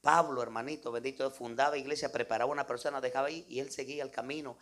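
A man's voice: mid-range voice, fairly even intonation, rapid-fire, fairly bright tone. A man with a Guatemalan accent preaching an impromptu, exhortative sermon to a congregation, peppered with devotional interjections and tag questions. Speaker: evangelical preacher.

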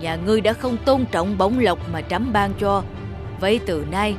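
A woman's voice: mid-range voice, moderate pace, over music, lightly acted. A woman voicing a proud, stern old king with a commanding manner in a cartoon fairy tale. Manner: imperious, commanding.

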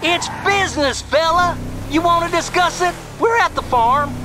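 An actor playing a mocking rural crime boss on a phone call in a video game, talking fast and folksy. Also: male voice, very high voice, background noise. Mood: taunting. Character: taunting rural male crime family boss.

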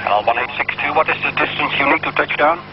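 A man's voice: a little high, fast talker. A man with a Dutch accent, an air traffic controller giving repeated, procedural instructions over the radio. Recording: muffled, very noisy radio recording.

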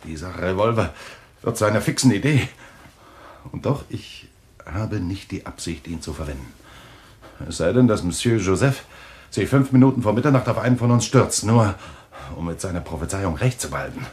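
An actor playing a skeptical, controlling journalist in a German radio thriller, with a deliberate, sardonic, interrogating manner. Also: male voice, low-pitched, expressive, darkish tone. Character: skeptical, controlling male journalist, suspicious husband.

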